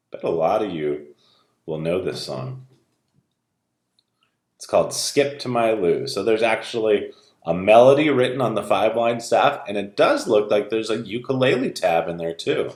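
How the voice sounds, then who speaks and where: A man speaking as a music teacher, informally explaining things to young students in a video lesson and read-aloud. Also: fairly low pitch. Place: indoor room at home. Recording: roomy indoor echo.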